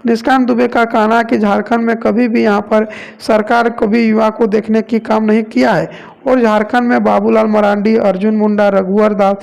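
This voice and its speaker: A man's voice: sits high, flat delivery, normal speed, creaky, a little mellow. A man from India narrating news reports as a presenter, leaning on repeated stock phrases.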